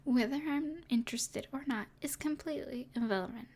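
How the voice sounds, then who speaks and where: A woman playing a smug, teasing evil sorceress in an intimate ASMR roleplay, with a breathy edge and a haughty, mysterious manner. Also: high-pitched, brisk, a little mellow.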